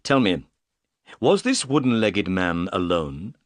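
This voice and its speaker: A guy doing a shrewd polite detective for a storybook, confident, very lively delivery, no room sound. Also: low voice, takes it slowly, no room sound, voice pushed down, full character voice. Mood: courteous, concerned. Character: composed, courteous, shrewd male detective.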